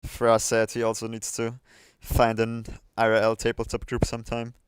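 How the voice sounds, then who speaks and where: A man hosting a livestream in a clean, smooth voice with a very dry, close sound. He chats casually and hesitantly, working out his thoughts as he speaks, at an ordinary male pitch. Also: monotone, normal speed, no echo. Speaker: livestream host.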